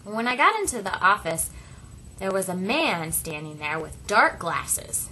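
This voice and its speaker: A woman voicing a first-person story as an interpreter, speaking deliberately in short phrases with dramatic pauses. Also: mid-range voice, big pitch swings, pushed and bright, slight background noise, low-quality recording.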